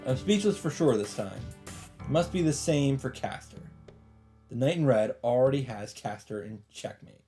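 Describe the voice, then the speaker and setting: Male let's play narrator, medium pitch, dramatic story reading, clear game soundtrack behind the voice. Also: expressive, moderate pace. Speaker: let's play host reading a visual novel aloud. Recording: loud game music behind voice. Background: visual novel soundtrack.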